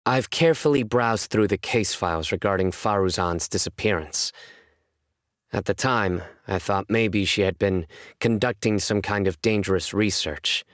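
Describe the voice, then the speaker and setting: An actor playing a stern, composed young male judge in a video game, speaking in a measured, serious, deadpan way. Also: lowish voice, dry recording, studio quality, close to an everyday voice. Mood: reflective. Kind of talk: scripted game character voice lines.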